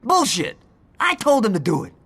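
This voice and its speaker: A hot-tempered gang enforcer in a crime game cutscene, blunt and sarcastic, swearing freely. His pitch swings widely as he talks, rising sharply when he blows up. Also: huge pitch swings, normal speed, fairly bright tone, breathy edge, exaggerated voice. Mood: furious. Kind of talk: video game cutscene acting.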